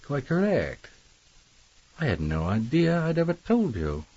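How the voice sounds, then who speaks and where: An actor playing a suave, scheming employer and widower, politely commanding and wordy, in a radio drama. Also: male voice, medium pitch, wildly swooping pitch, a little slow, dark tone, lo-fi sound, heavily acted. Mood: suave, businesslike. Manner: genteel, wordy, insinuating.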